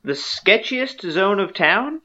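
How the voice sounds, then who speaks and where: A woman's voice: mid-range voice, animated, slow pace, pushed and bright, muffled recording, voice pushed up, full character voice. A woman voicing a puzzled, mild male bartender who answers in a flustered, evasive way.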